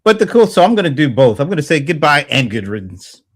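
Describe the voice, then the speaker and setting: A man's voice: a little high, sing-song. A man in his fifties co-hosting a casual online chat, teasing the others. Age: 50s. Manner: teasing, quick interjections. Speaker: co-host.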